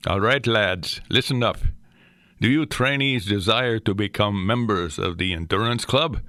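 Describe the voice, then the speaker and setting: A man's voice: on the low side, measured pace, pushed and bright, no echo, studio quality. The self-important Scottish drill master of a backyard club in an audiobook memoir, as a male narrator puts him on, formally issuing pompous instructions to his recruits. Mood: mock solemn. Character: bossy boy club leader playing solemn Scottish drill master.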